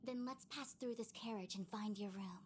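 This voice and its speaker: A woman's voice: mid-range voice, normal speed, slight background noise, lightly acted. An actress playing a composed, authoritative young woman seer in a video game, speaking formally and solemnly. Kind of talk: scripted game voice acting.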